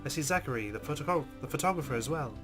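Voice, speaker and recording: A man's voice: on the high side, animated, fast. A man hosting a let's play, reading visual novel narration aloud. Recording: music behind the voice.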